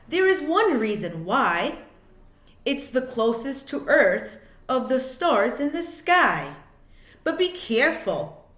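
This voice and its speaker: A woman reads a children's storybook aloud in a fairly high, very animated voice. She goes phrase by phrase, clearly shaping it for young listeners. The recording has a roomy echo. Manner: deliberate, expressive, phrase-by-phrase delivery for young listeners. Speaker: teacher reading a children's book aloud.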